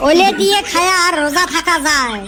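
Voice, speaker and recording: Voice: very high voice, fairly quick, background noise. An actor voicing a lazy, wisecracking young village lad in a comedy cartoon, teasing in a Rangpuri accent from northern Bangladesh. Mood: excuse-making. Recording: music behind the voice. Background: music.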